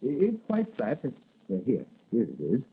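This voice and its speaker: An actor playing a kindly, fussy older shopkeeper doctor in a 1940s radio adventure, mildly astonished and eager to help. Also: male voice, medium pitch, wildly swooping pitch, slow, breathy edge, full character voice. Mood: kindly, helpful. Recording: muffled, band-limited vintage radio recording.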